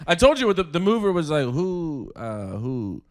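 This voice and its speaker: A man co-hosting a comedy podcast, chatting casually and telling animated stories with put-on voices. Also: a little high, moderate pace. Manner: animated storyteller who does voices of people he met. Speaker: podcast co-host. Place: podcast studio at home.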